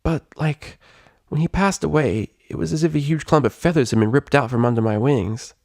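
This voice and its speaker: A man's voice: fairly high pitch, moderate pace, gravelly, darkish tone, no room sound, clean studio sound, voice pushed up. A man voicing a brash, irritable young female pegasus pony from a cartoon story, with a cracking voice and slangy, blustering delivery. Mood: wistful, vulnerable. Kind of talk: animated character voice in a story reading.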